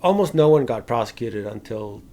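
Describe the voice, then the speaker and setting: A man co-hosting a film podcast, talking casually and haltingly, with some informal swearing. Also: medium pitch, lively intonation, darkish tone.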